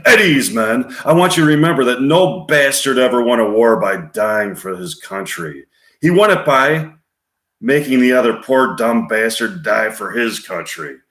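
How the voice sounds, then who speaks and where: Blustering general as a man acts him out, commanding and theatrical, big pitch swings, echoey room. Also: lively intonation, moderate pace, fairly bright tone. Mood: gruff, commanding. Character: blustering, commanding old army general rallying troops. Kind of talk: theatrical movie monologue impression.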